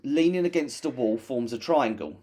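A man's voice: mid-range voice, animated, normal speed, dark tone. A British man co-hosting a casual podcast, chatting with enthusiasm and telling stories. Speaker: podcast co-host. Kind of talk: casual chat.

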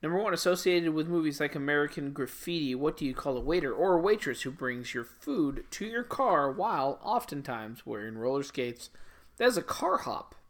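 A man's voice: fairly high pitch, lively intonation, moderate pace. A man co-hosting a trivia show, chatting casually and joking sarcastically as he reads out answers. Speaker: trivia co-host. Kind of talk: casual comedic chat reading trivia answers.

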